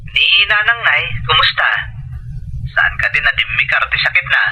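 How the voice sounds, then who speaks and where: Man acting a sharp, bright overseas husband on the phone. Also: fairly high pitch, animated, normal speed, breathy edge, heavily acted, low-quality recording. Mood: concerned. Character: hardworking husband calling home from abroad, patient and indulgent. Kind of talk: Ilocano audio drama acting.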